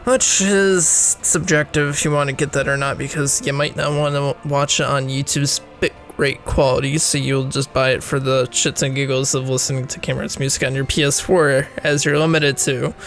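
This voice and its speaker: A man's voice: medium pitch, little pitch movement, moderate pace, vocal fry, hardly any pauses, fairly bright tone, faint music behind. A young man with a cold, rambling through casual gaming commentary and laughing often at himself. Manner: rambling, laughs often, self-deprecating asides. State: sick, voice rough. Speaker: gaming video creator.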